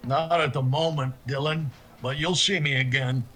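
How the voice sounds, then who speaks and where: Man playing a sharp-voiced surly western heavy. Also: medium pitch, steady tone, normal speed, lightly acted. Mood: defiant threat. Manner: goading, defiant. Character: surly big troublemaking western gunman, threatening. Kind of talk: western radio drama acting.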